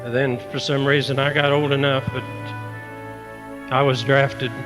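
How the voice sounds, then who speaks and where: A man in his eighties with a rural American accent, recalling his past in a halting, grave way as a veteran. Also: mid-range voice, flat delivery. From rural United States. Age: 80s. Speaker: war veteran. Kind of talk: personal reminiscence. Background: music.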